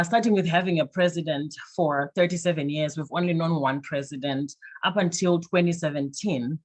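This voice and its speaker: Woman speaking with many fillers. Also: deep voice. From Zimbabwe. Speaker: panelist, nonprofit leader. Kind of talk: conversational presentation.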